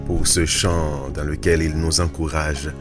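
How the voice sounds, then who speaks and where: A man hosting a radio interview show, introducing guests in a calm, measured way. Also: extremely low pitch, loud music behind the voice.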